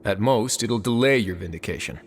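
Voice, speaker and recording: An actor voicing a composed, authoritative male warden who speaks in a measured way with dry wit, in a video game. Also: on the low side, slight background noise, close to an everyday voice.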